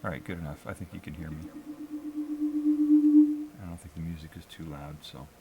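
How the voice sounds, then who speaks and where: A low-pitched American man talks off the cuff to a livestream. He is hesitant and halting, feeling his way through each thought. The audio has clear background noise. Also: steady tone. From New England, US. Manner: hesitant, halting, self-critical. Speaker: amateur live streamer. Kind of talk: casual unscripted solo livestream chat.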